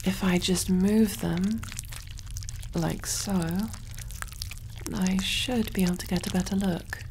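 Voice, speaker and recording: A woman playing a kind, motherly alien surgeon in a soft-spoken ASMR roleplay, gentle and reassuring, breathy and often whispery, musing aloud as she works. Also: medium pitch, steady tone, slow pace, slight background noise.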